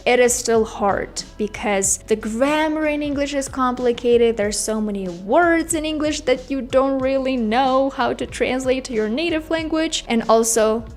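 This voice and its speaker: A Russian woman with a Russian accent giving a casual, chatty English lesson, speaking emphatically and straight to her viewers. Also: on the high side. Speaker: English teacher, video host. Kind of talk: casual explanatory talk to camera.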